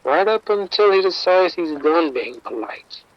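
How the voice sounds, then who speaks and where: A man's voice: on the high side, lively intonation, unhurried, no room sound, highs cut off. An actor playing a streetwise male bookie informant, wry and defensive, talking around the point in an audio drama.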